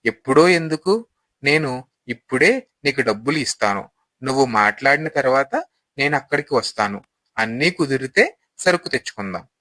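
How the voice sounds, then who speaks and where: A man's voice: mid-range voice, expressive, normal speed, long pauses, pushed and bright, dry recording. A man playing an eager, gullible young son in a moral story, trusting and earnest.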